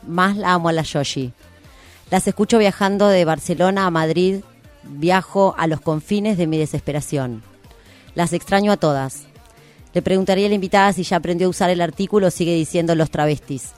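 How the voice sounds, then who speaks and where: Low-voiced Argentine woman hosting radio. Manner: playful, informal. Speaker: radio show host. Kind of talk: casual live radio chat.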